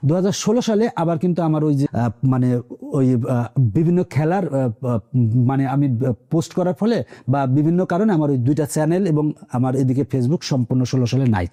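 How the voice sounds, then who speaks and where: A man with a Bangladeshi accent, a teacher being interviewed, telling his own story casually in a halting, repetitive way. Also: medium pitch, animated, mellow.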